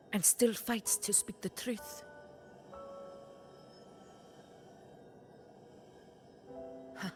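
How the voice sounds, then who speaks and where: A woman voicing a stoic, resolute warrior leader in a video game, solemn, measured and dignified. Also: fairly low pitch, natural voice.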